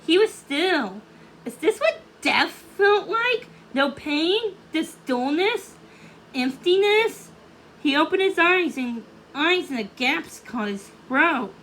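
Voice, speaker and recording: A woman narrates a children's storybook in a high, slow voice. She reads a little haltingly, stumbling on words. Faint noise sits behind her. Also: sing-song, a bit bright, poor audio.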